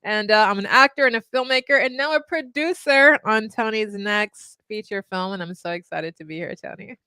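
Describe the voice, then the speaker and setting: A co-host of a live web show, speaking from a home studio, bubbly and enthusiastic. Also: female voice, medium pitch, moderate pace, pushed and bright, smooth, dry recording.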